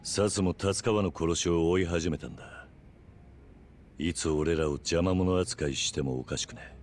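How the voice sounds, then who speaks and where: A man's voice: low voice, measured pace, darkish tone. A male actor playing a stern, resolute middle-aged yakuza veteran in a video game, speaking in terse, grave, blunt phrases. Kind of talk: dramatic video game acting.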